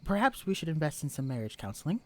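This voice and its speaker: A man's voice: a little high, big pitch swings, quick pace, darkish tone. A man voicing a stiff, formal alien posing as a husband, with stilted, pompous, overly proper diction in a scripted audio roleplay. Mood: indignant, insistent.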